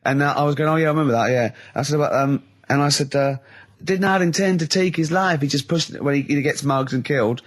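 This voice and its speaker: A man co-hosting a radio show, chatting casually and telling anecdotes in a rambling, animated way. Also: a little high, fast.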